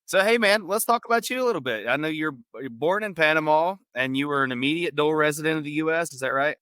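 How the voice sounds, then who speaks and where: A man's voice: on the high side, lively intonation, quick pace, pushed and bright, clean tone, dry recording. A man hosting a podcast in casual interview chat, informal, slangy and agreeable. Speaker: podcast host.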